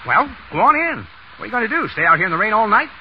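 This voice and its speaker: Brusque slangy leading man, highish animated voice, scolding, vintage radio play. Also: very fast, slight background noise. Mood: bossy. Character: brusque, cocky, bossy young wisecracking man. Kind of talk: 1930s radio acting. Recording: muffled 1930s radio broadcast.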